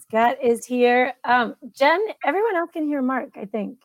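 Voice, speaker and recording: Woman hosting a live call show, higher voice, upbeat, dry close audio. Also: expressive, a little slow, no room sound. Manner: upbeat, encouraging. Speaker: host. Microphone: video call.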